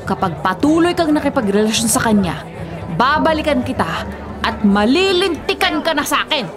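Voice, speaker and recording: An actress playing a domineering, jealous older ex-wife in a Filipino radio drama, scolding and accusing with scorn. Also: female voice, high voice, big pitch swings, normal speed, crowd noise. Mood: angry, scornful. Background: music.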